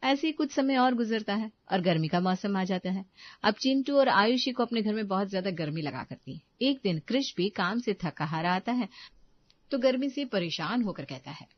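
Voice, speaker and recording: Woman narrator reading a moral story, medium pitch, kinda dull dry audio. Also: expressive, normal speed, dry recording.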